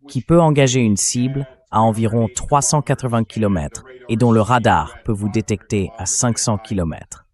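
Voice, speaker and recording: A man's synthetic voice reading a translated interview as a military commentator, in a steady, explanatory delivery. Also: fairly low pitch.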